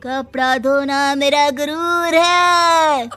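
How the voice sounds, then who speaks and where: महिला की आवाज़ में बहुत ऊँची, चटक आवाज़ वाली बहू. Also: female voice, sits high, steady tone, drawn-out words, pushed and bright, faint music behind, full character voice. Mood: dramatic outrage. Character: excitable young bride obsessed with washing clothes. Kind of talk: Hindi cartoon story acting.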